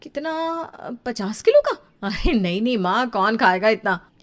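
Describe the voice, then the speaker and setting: A woman's voice: fairly high pitch, big pitch swings, measured pace, voice pushed down. A woman voicing a haughty, bragging elder sister-in-law in a cartoon story, airy and condescending. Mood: showy. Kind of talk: cartoon story character acting.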